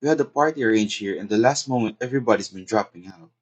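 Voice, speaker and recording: An anxious society daughter acted by a man in a comic play. The voice is husky and rough-edged. The delivery is earnest, pleading and easily flustered. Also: lowish voice, fast, lo-fi sound. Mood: polite, eager. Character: anxious, well-meaning daughter of a society hostess. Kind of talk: theatrical stage comedy acting.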